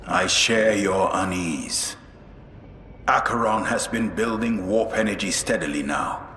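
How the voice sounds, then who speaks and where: Stern, disciplined game marine adviser, sober, forceful, in an echoing hall. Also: male voice, on the low side, a little slow, slight background noise. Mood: stern, uneasy. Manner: sober, firm. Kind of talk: dramatic game cutscene acting.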